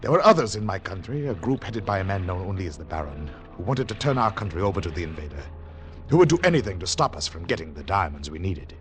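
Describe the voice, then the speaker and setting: David, low-voiced, brooding secret agent. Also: male voice, very animated. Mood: grave, tense. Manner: grave, measured, dramatic delivery. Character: David, weary wary foreign secret agent narrating his mission. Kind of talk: 1940s radio drama narration and acting. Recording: old radio recording with music.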